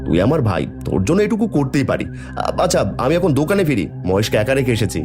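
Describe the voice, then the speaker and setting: Cartoon part: honest, trusting village father, earnest, brisk, swinging pitch, faint music underneath male actor. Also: very animated, close to an everyday voice. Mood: kindly, trusting. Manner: earnest, gentle, plaintive when wronged. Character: kind, trusting poor village shopkeeper and father. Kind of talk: cartoon dialogue acting.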